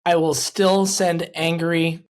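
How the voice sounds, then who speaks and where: A man's voice: on the high side, level tone, takes it slowly. A man hosting a casual sports interview, informal and emphatic, repeating phrases for effect. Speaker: interview host.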